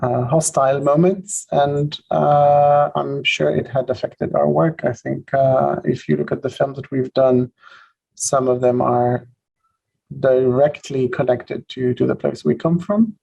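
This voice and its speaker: Slow, gravelly man from Israel. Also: medium pitch, fairly even intonation, a little mellow. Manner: slow and measured, with fillers. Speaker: film director on a festival panel. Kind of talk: unscripted panel answer. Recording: dull, muffled sound.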